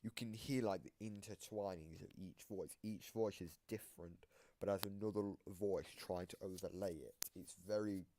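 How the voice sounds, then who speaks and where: A man's voice: deep voice, steady tone, moderate pace, few pauses, background noise. A man giving casual explanatory commentary as he presents the lore of a game.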